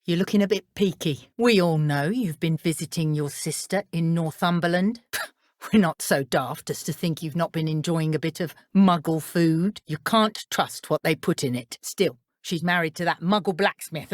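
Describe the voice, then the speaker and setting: A woman acts out a confrontational female henchwoman from a fantasy game. Her British voice sits on the low side in a dry, close sound. She is blunt, suspicious and always ready to bicker. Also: no room sound, lightly acted. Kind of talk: video game voice acting.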